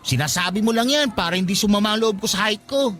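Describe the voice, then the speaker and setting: A man's voice: sits high, lively intonation, fast, a bit bright, soft background music. A man playing a short, insecure, lovestruck young worker in a Tagalog radio romance, sulky and guarded in his talk. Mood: doubtful. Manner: sulky, guarded, self-pitying.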